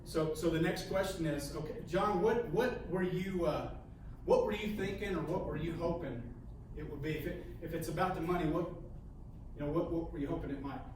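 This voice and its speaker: Man coaching in an echoey room. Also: fairly high pitch. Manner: halting, repeats words, ends points with "okay?". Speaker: sales trainer. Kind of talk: coaching a training session with role-play. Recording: echoey room, mic far off, faint hiss.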